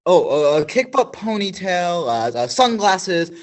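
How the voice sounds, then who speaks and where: A man playing a nervous male field reporter in a school radio play, halting and full of fillers. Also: high-pitched, sing-song. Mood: flustered.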